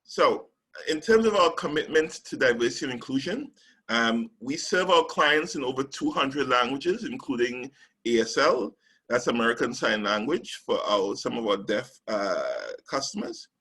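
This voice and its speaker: A man from Trinidad and Tobago leads a webinar as a recruitment specialist, with a bright, forward tone. He talks in a measured way, pausing on ums between points. His voice comes through a remote call microphone. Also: medium pitch, lively intonation, slightly rough. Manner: measured, with frequent ums and slide cues. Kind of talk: webinar presentation.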